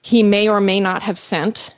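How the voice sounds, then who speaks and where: A woman's voice: mid-range voice, steady tone, a little slow. A woman in an interview clip recounting what happened, somewhat hesitant.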